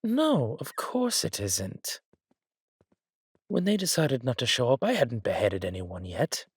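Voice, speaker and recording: A man playing an arrogant, petulant villain king in an audio drama, with a drawling, theatrical, sardonic delivery and a breathy, husky voice. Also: mid-range voice, sing-song, unhurried, dry recording, studio quality, full character voice. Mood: defensive, sardonic. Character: arrogant, petulant villain king, cruel yet doting on his consort.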